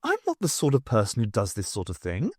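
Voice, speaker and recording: A man voicing a wry, weary, self-aware woman adventurer in an audiobook, brisk and exasperated. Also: medium pitch, huge pitch swings, a little mellow, husky, dry recording, clean studio sound, pitched below the performer's own voice.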